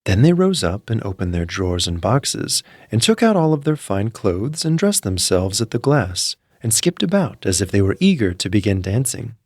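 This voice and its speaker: A male narrator tells a fairy tale with measured delivery. His voice sits fairly low with a husky grain, and it rises and falls expressively. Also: sing-song, no echo, studio quality.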